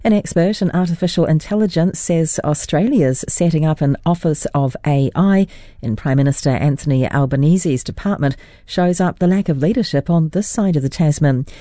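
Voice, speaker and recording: New newsreader, mellow voice. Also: medium pitch, normal speed, no room sound, clean studio sound. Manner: crisp, even news reading. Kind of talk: news headlines.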